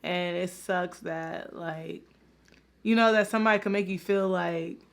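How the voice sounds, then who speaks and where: Low-voiced woman chatting casually. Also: steady tone, a little slow, gravelly. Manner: chatty, many fillers like "like" and "you know". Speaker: host reacting to a video. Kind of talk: casual reaction commentary to viewers.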